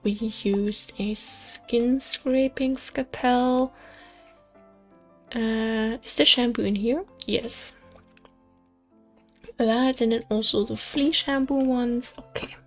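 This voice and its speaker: A woman's voice: a little high, steady tone, slow, pauses often, faint music behind. A woman in her twenties chatting casually and telling stories while playing a game, with frequent giggles. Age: 20s. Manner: chatty, storytelling, giggly asides. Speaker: gaming channel host. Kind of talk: casual let's play commentary. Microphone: USB condenser mic.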